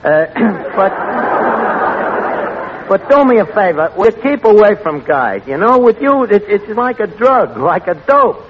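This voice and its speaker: Casting: lowbrow wisecracking New York tavern boss, high animated voice, 1940s radio comedy, noisy vintage recording. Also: male voice, a little slow, some chatter behind. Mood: sardonic, teasing. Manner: streetwise, mangled grammar, sardonic. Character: wisecracking, scheming lowbrow tavern manager, malapropisms, big ideas. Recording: muffled old radio recording, audience, noisy.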